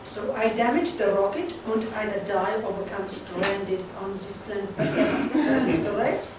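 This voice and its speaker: A woman playing a reluctant, deadpan male German saboteur in a live comic radio play. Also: mid-range voice, normal speed, close to an everyday voice. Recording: echoey room, distant mic, noisy background.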